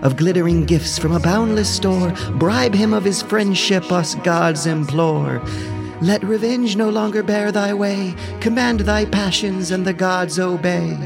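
A man voicing a stern, commanding war goddess in an epic audio drama, speaking solemn, measured verse. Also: high-pitched, vocal fry, music in the background, voice pushed up.

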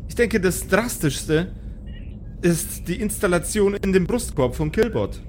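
A man's voice: high-pitched, animated, measured pace, a little mellow, faint music behind, voice pushed up. A man playing a spaceship's computer, formal and hesitant with a teasing streak. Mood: concerned, formal. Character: spaceship computer, polite but snarky and teasing.

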